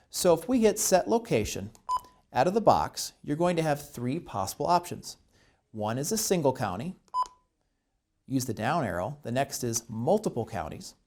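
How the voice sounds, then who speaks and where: Man giving lively-pitched step-by-step instructions. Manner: explanatory, deliberate step-by-step phrasing. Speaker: instructor, TV station presenter.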